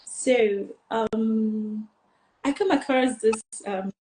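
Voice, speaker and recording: A woman's voice: fairly high pitch, extremely slow, warm tone, roomy sound, a little hiss or hum, low-quality recording. A woman from eastern Nigeria, a pageant finalist, answering interview questions in an earnest, rambling way with many fillers.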